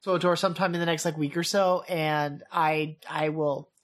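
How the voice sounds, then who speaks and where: A woman co-hosting a podcast in casual chat, with a gushing, excitable way of talking. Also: low voice, normal speed. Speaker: podcast co-host.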